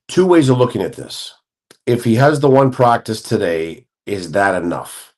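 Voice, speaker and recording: A man's voice: on the low side, animated, normal speed. Man breaking down hockey at length. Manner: expansive, thinks aloud through scenarios. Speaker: hockey analyst guest. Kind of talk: radio sports talk analysis.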